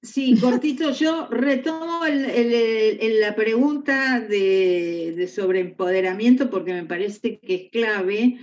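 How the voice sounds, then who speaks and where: A woman's voice: fairly high pitch, slow pace, hardly any pauses, a little mellow. A woman answering as a webinar panelist, repeating words as she gets going. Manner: stumbling start, repeating words. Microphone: video call. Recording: dull lo-fi online call audio.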